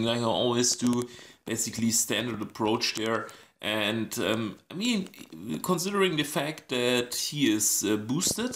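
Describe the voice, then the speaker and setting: A man with a scratchy voice giving casual commentary on a strategy game, thinking aloud with many pauses and ums. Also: medium pitch, fairly bright tone. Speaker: gaming channel host.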